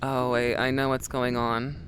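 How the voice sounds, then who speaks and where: A woman's voice: very deep voice, level tone, unhurried, background noise. A woman streaming and chatting casually with her viewers, rambling and calling them sweetie.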